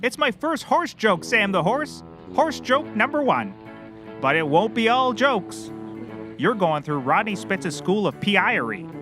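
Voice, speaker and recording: An actor playing a hapless, overconfident private detective in a scripted comedy, speaking in an eager, self-important, theatrical way. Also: male voice, sits high, sing-song, a little slow, music in the background. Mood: self-important instructing.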